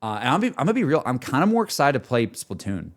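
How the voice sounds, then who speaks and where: A man's voice: mid-range voice, sing-song, quick pace. A man streaming games who talks casually and candidly to his chat, rambling as he thinks aloud without a script. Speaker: game streamer talking to chat. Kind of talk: casual livestream chat, unscripted.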